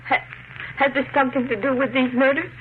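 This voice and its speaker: An actress playing an anxious, devoted young wife, hesitant and questioning, in a 1950s radio drama. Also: female voice, sits high, little pitch movement, a little fast, close to an everyday voice. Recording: muffled, noisy vintage radio broadcast.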